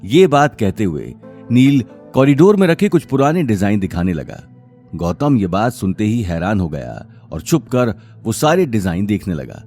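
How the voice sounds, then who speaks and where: Lively, low-voiced male story narrator. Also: normal speed, soft background music. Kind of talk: dramatic scripted story narration.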